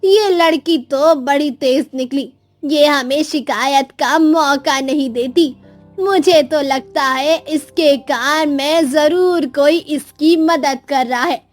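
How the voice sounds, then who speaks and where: A woman's voice: sits high, takes it slowly, dry recording. A woman voicing scheming, spiteful female in-laws in a cartoon moral story, with a haughty, scornful manner. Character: scheming, scornful mother-in-law and spiteful daughters.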